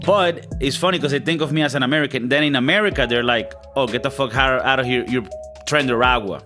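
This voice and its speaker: A man's voice: mid-range voice, fast, bright, forceful tone, faint music behind. Spanish-accented man rambling in casual chat. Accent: Spanish-speaking. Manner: rambling, stammering restarts, swearing, mimics other people. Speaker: podcast co-host.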